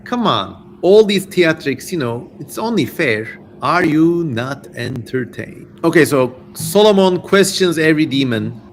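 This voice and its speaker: A man's voice: fairly high pitch, sing-song, measured pace, hardly any pauses. A man live-streaming a dramatic reading of an ancient text, narrating theatrically and breaking into jokey, self-mocking casual asides. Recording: clear background noise on live-stream.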